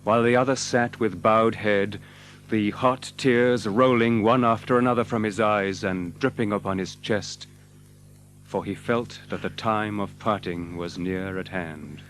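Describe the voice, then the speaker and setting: Low-voiced man narrating a dramatic tale. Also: slight background noise. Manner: expressive, measured literary delivery. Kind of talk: dramatic scripted storybook narration.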